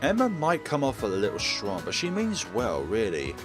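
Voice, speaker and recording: A man's voice: medium pitch, huge pitch swings, moderate pace, over music. A man doing let's play commentary, thinking aloud and reading visual novel text as he goes.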